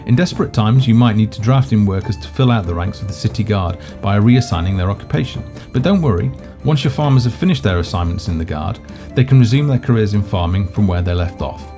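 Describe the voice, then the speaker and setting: A man presenting a video game in scripted walkthrough narration, measured and explanatory. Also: lowish voice, lively intonation. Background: background music.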